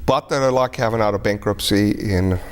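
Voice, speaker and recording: A man's voice: fairly low pitch, normal speed. A middle-aged man with a German and Spanish tinged accent, a native speaker of Spanish and German, chatting modestly as an interview guest. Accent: mixed German, Spanish and British English. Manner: modest, chatty, with frequent restarts. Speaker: restaurant and banquet business owner, guest. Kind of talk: casual interview talk. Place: TV studio.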